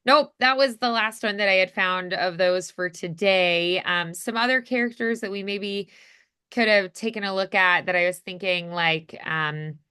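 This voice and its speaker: A woman's voice: medium pitch, moderate pace, sharp tone, clear, resonant tone. A woman coaching voice acting in a casual, encouraging, conversational way. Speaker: voice acting teacher.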